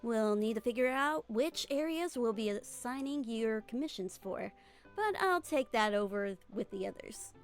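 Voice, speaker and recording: A woman acts out an easygoing female desert mercenary for a game fan dub, with a fairly high voice. She is self-assured and informal, talking people round in a breezy way. Light music plays behind her. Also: expressive, normal speed, clear, resonant tone. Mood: upbeat, businesslike.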